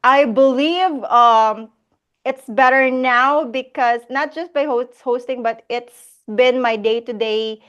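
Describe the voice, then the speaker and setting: A woman's voice: fairly high pitch, slow, fairly bright tone, smooth, dull audio. A Filipino woman hosting a casual live Q&A, reading out viewer questions and laughing often. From Philippines. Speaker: host of a live Q&A.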